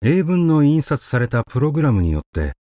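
A man reading a literary short story aloud as an audiobook narrator, with measured, expressive storytelling. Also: fairly high pitch, dry recording, clean studio sound.

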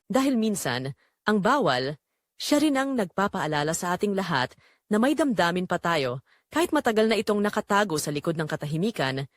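A woman's voice: mid-range voice, sing-song, a little fast, no echo, clean studio sound, natural voice. A woman playing a guilt-torn wife and mother confessing a secret in an intimate, dramatic audio drama narration, with a Filipino accent. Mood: confessional, pleading.

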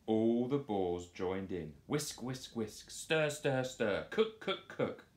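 A man in his thirties reading a picture book aloud to children in a playful, expressive storytelling style. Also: medium pitch, takes it slowly. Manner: animated, playful delivery. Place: small living room, on a sofa indoors. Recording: roomy home echo.

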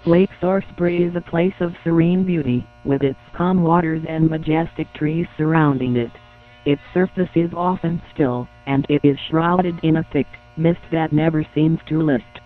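A man with a somewhat high voice reads scripted announcements. He sounds as if on a tinny phone line, over faint music. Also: steady tone, moderate pace. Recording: phone-like narrow band with hum.

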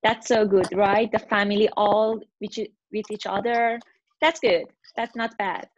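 A woman interview host whose first language is Persian, asking questions in slow, careful English over a video call. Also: medium pitch, steady tone, dry recording. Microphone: webcam on video call. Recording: dull lo-fi video call audio.

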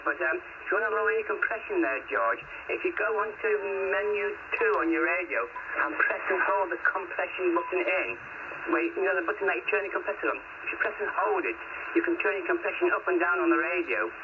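A man's voice: sits high, quick pace. A man heard through a single-sideband radio, explaining settings helpfully in casual ham radio talk. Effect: single-sideband radio transmission. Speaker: amateur radio operator. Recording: tinny narrow radio link, heavy static.

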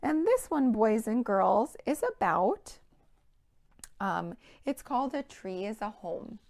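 An American woman in her thirties presenting a children's storytime, speaking clearly and animatedly in simple phrases to young children. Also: fairly high pitch, big pitch swings, takes it slowly, darkish tone. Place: indoor children's play room.

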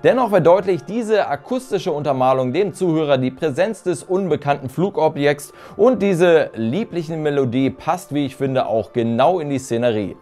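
A man in his thirties presenting a scripted review in measured, formal phrasing. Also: on the high side, animated, mellow, soft background music. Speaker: reviewer, channel host. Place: small furnished room indoors.

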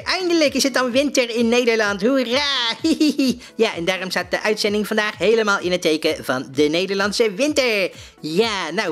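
A man playing an excitable, easily flustered male podcast host in a comedy show, giggling, exclaiming and rambling hesitantly. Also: high voice. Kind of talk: comic mock podcast presenting.

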